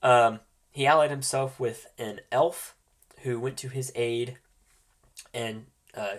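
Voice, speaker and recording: Congested man running a tabletop game. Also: mid-range voice. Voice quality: slightly congested, nasal from a cold. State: congested from a cold. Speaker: game master. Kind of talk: recapping and hosting a tabletop roleplaying game.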